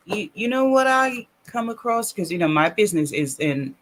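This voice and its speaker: A man hosting an interview who works in insurance and mortgages, asking questions hesitantly with many pauses. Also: high-pitched, sing-song, fairly bright tone, a little hiss or hum, poor audio. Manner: hesitant, encouraging backchannels. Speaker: radio host, insurance and mortgage professional. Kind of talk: casual interview.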